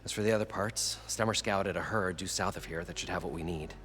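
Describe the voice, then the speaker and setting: An actor playing an eager, chatty salvager in a video game cutscene. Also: male voice, sits low, brisk, fairly bright tone, faint music behind. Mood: friendly. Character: eager older male salvager, folksy and chatty.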